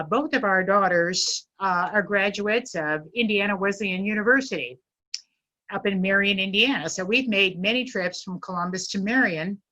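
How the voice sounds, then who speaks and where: Bright-voiced middle-aged woman presenting. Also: lowish voice, poor audio. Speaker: guest speaker. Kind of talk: prepared business presentation to an online networking group. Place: online video meeting.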